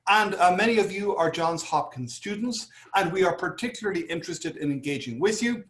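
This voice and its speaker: A man's voice: high voice, expressive, a little fast, a bit bright, reverberant. A man with an Irish accent introducing a panel as moderator, with frequent fillers and restarts. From Ireland. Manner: hesitant, many fillers and restarts. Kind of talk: panel introduction over video call.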